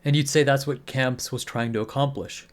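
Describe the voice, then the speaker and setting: An actor playing a cool agent questioning a suspect in a radio drama, measured and needling. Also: male voice, medium pitch, steady tone, natural voice. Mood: probing. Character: cool, probing male agent interrogating a suspect.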